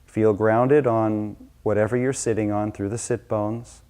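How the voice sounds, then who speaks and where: A man leading a guided meditation as a teacher, giving slow, gentle, unscripted instructions with soft-spoken pauses. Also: lowish voice, fairly even intonation, clear, resonant tone.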